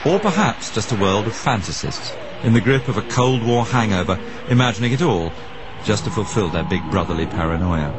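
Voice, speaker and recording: A man's voice: on the low side, animated, fairly quick, a crowd in the background. A man presenting a radio documentary, narrating and asking questions in a dramatic storytelling manner.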